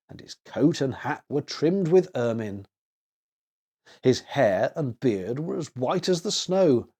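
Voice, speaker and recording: A male storyteller reads a children's tale expressively. His medium-pitched voice moves with lively, varied intonation.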